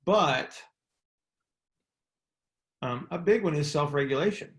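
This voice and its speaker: Gravelly man coaching in a roomy space. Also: on the high side, expressive, takes it slowly. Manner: explanatory, checks in with rhetorical questions. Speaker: coach and mentor hosting a group call. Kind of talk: conversational expert advice. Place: indoor room, on a conference call.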